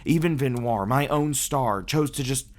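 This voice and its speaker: A man's voice: mid-range voice, a little slow, natural voice. A man playing a brooding, bitter vampire in an ASMR audio roleplay. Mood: angry, accusing. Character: brooding, bitter male vampire, resentful of his absent guardians.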